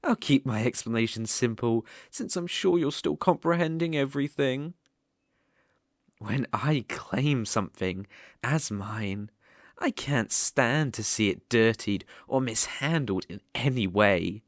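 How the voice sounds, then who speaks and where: A possessive, sadistic demon ruler with a British accent, played by an ASMR performer. The delivery is slow and theatrical, with haughty mockery and big swoops in pitch. The sound is close and dry, as in an intimate roleplay. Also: expressive, dry recording. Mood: possessive, commanding. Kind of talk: ASMR roleplay, scripted monologue.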